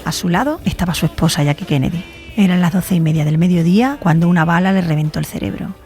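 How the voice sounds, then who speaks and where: A woman's voice: on the low side, fast talker, a little mellow, soft background music. A woman narrating a scripted true crime documentary as a podcast host.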